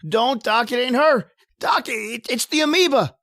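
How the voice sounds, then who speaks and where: A woman playing a timid, uneducated male lab assistant who stammers and pleads in rough slangy speech. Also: fairly high pitch, unhurried, a bit bright, no room sound, studio quality. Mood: panicked. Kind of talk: old-time radio horror acting.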